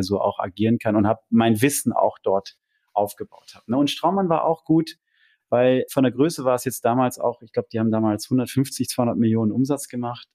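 A man speaks as a podcast guest, in a voice pitched a little low. He tells his own story in a conversational, enthusiastic way, like an entrepreneur looking back on his career. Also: darkish tone. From Germany.

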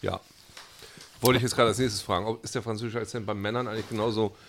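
A man's voice: lowish voice, fairly even intonation, fast talker, a bit bright, a little hiss or hum. A man co-hosting a casual podcast chat, rambling with lots of fillers and restarts, and laughing easily. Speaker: podcast co-host.

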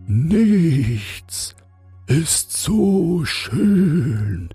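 A man's voice: fairly high pitch, sing-song, very slow, dark tone, breathy edge, dry recording, soft background music, pitched above the performer's own voice, full character voice. A man voicing a haughty little caterpillar that drawls in a pompous, indignant way for a storybook. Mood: indignant, possessive. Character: slow, haughty, self-important little caterpillar.

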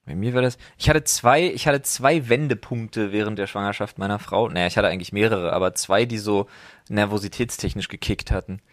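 A man's voice: fairly low pitch, fairly quick, dry recording. A man talks casually, as if to a friend. His phrasing is colloquial and blunt, and the sound is close and dry, with no room to it. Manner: colloquial, slangy, blunt with swearing. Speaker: podcast co-host. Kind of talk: casual chat between friends. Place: dry, close-miked recording.

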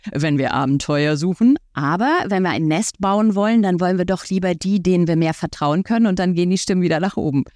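A woman's voice: on the low side, darkish tone, no room sound, clean studio sound. A woman speaking as an expert podcast guest, explaining her points conversationally with everyday examples.

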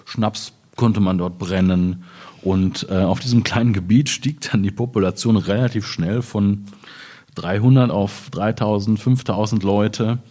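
A man's voice: fairly low pitch, a little slow, warm tone. A man speaking as a poet in a spontaneous literary conversation, reflective and often searching for his words. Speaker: poet in discussion.

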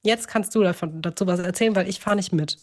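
A woman's voice: fairly low pitch, fast. A woman co-hosting a podcast in a casual, chatty way, often interrupting herself with joking asides into her own microphone. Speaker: podcast co-host. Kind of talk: casual chat. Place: indoors, remote connection with own microphone setup. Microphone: own clamped desk microphone.

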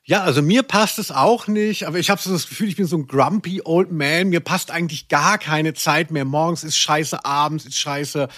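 A man's voice: on the high side, normal speed, few pauses, fairly bright tone, no room sound, studio quality. A man co-hosting a casual, jokey podcast, talking in a wordy, self-mocking, rambling way. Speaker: podcast co-host. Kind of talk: casual, jokey podcast chat about audio dramas.

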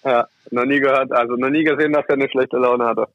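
Young male athlete, casual interview guest, halting delivery, medium pitch, dull lo-fi sound. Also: steady tone, dry recording. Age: young adult. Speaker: ice hockey player, interviewed guest. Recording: muffled, dull lo-fi audio.

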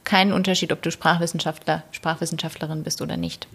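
A woman linguist answering interview questions in a thoughtful, hedging way, with soft laughs between answers. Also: sits low, flat delivery, fast talker, breathy edge. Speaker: linguist guest. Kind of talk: conversational interview.

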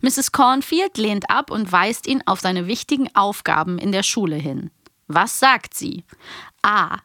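A woman's voice: mid-range voice, animated, a little slow, sharp tone, dry recording, studio quality. A woman co-hosting a show in casual, teasing banter. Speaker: co-host of an audio drama magazine show.

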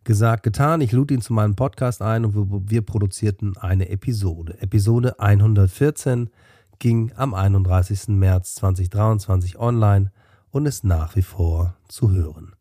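Man with a cold, podcast host, low warm voice, dry close sound. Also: normal speed, no room sound. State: has a cold. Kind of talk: solo podcast narration.

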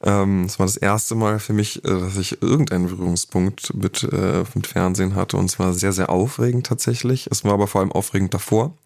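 Low-voiced man musing openly. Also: fairly even intonation, fairly quick, creaky, hardly any pauses, a little mellow. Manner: open, reflective, many fillers. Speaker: podcast co-host. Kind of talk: casual podcast chat.

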